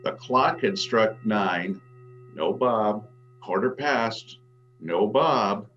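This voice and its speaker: Genial announcer-narrator for a holiday radio play, male performer, scripted storytelling, muffled sound with clear musical underscore. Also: on the low side, drawn-out words, fairly bright tone, faint music behind. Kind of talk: old-time radio drama narration.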